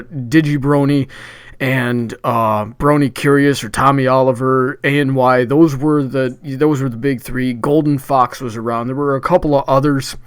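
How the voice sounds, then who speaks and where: Brief: male host, mid-pitched, friendly and rambling, dry close sound. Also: clear, resonant tone, dry recording. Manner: chatty and upbeat, frequent "uh" restarts. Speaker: debate livestream host. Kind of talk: casual hosting chat.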